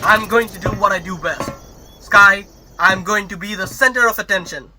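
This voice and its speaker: Bossy, heroic space captain, played by a man: very high male voice, lively, clear background noise. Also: normal speed, lightly acted. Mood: heroic, self-important. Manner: commanding, melodramatic. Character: vain, theatrical male starship captain, brave and bossy. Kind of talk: sci-fi sitcom audio drama acting.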